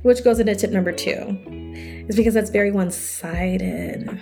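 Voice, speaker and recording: A woman's voice: normal speed, gravelly, soft background music. A young woman hosting her own podcast as a self-worth coach, talking casually and chattily, her voice a little scratchy. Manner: chatty, animated, quotes others in higher voices. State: slightly scratchy voice. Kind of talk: casual solo podcast talk.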